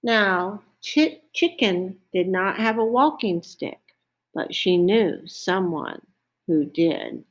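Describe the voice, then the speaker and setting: A woman's voice: on the low side, animated, slow. A woman reading a children's story aloud, careful and explanatory, with teacherly asides.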